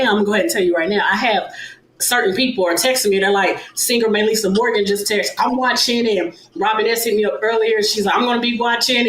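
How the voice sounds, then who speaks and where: A woman's voice: fairly low pitch, a little hiss or hum. A woman from the US Midwest hosting a live online interview show, thanking her guests with enthusiasm. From Kansas City, US Midwest. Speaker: show host. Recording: roomy echo.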